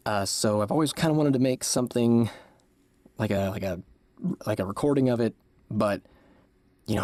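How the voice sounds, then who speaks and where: A man's voice: fairly low pitch, darkish tone, no echo. A man chatting casually as host, informal and rambling. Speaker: host introducing a dramatic reading. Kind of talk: casual chat.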